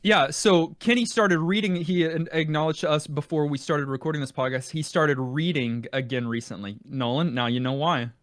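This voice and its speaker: A man's voice: fairly high pitch, normal speed, hardly any pauses, a bit bright, no room sound, studio quality. A man co-hosting a podcast in casual, teasing conversation about video games. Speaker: podcast co-host.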